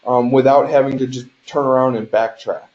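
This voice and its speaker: Male instructor, mid-pitched and mellow, explanatory delivery with frequent check-ins, muffled conference-call sound. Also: fairly even intonation, fairly quick. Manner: explanatory, often checks in with "right?". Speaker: game design instructor. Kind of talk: instructional lecture over a shared screen. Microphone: conference call microphone. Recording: dull, lo-fi conference call audio.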